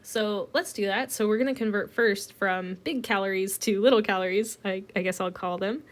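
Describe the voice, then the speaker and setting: A woman's voice: medium pitch, moderate pace. A woman teaching a recorded chemistry lecture while getting over a cold, talking through each step with plenty of ums. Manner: relaxed, explains step by step with many ums. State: recovering from a cold.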